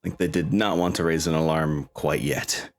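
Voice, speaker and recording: A man's voice: very deep voice, fairly even intonation, moderate pace, faint music behind. A man chatting casually as game master of a tabletop game, rambling and correcting himself as he goes.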